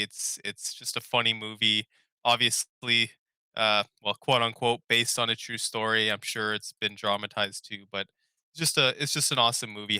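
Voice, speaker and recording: Bright, crisp-voiced young man chatting casually. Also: lowish voice, no echo. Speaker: podcast co-host. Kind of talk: casual chat about favorite movies.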